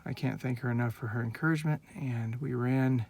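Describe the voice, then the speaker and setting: A man's voice: medium pitch, steady tone, fairly quick, creaky, a little mellow, husky. A man in his forties from Northern California, talking in an earnest, rambling, heartfelt way in a casual personal vlog, recorded on a handheld phone. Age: 40s. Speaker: vlogger.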